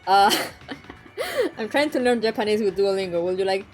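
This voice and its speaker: A woman's voice: medium pitch, normal speed, soft background music. A young woman whose first language is Spanish, speaking English with a Spanish accent as she chats casually with her stream viewers, rambling and laughing often. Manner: giggly and rambling, often laughing between lines.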